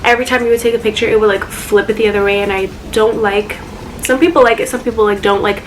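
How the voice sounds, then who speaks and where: A woman's voice: a little high, steady tone, quick pace, slight background noise, poor audio. A young woman vlogging casually about her phone apps, chatty and full of fillers, her voice slightly congested. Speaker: YouTuber. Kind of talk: casual phone tour vlog.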